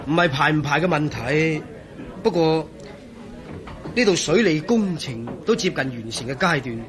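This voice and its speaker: Genial engineer man acting, highish voice, lively expression. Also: moderate pace, a crowd in the background, close to an everyday voice. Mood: genial, chatty. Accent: Hong Kong Cantonese. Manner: chummy, expressive. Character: genial, easygoing male engineer and old friend. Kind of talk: radio drama acting.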